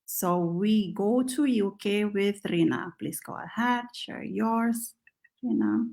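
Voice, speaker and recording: A woman hosting an online panel on a video call, with a halting, filler-filled delivery. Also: medium pitch, creaky, darkish tone. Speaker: host.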